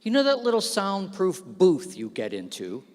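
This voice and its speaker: A man giving a humorous, self-deprecating speech at an awards ceremony, telling stories with lively asides into a stage microphone. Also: high-pitched, moderate pace. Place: large event hall. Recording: long reverb of a large hall.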